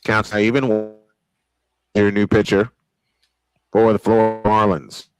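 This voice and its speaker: A man's voice: lowish voice, monotone, a little slow, faint music behind, rough recording. An American man doing live old-school baseball play-by-play commentary, mixing calls with casual asides. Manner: old-school broadcast delivery. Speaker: play-by-play sportscaster. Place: small home-style studio.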